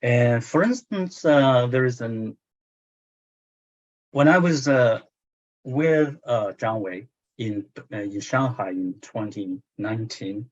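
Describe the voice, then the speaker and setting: A man's voice: medium pitch, slow. A man in his sixties, a native Chinese speaker with a Chinese accent, talking as a translator in a book club discussion, hesitant with many fillers and restarts. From China. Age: 60s. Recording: muffled lo-fi audio.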